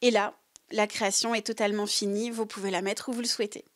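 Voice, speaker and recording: A woman's voice: mid-range voice, little pitch movement, quick pace, dry recording. A woman from France who runs a small craft business, explaining her work in her workshop with many hesitations and fillers. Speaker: craft maker. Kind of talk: workshop demonstration talk.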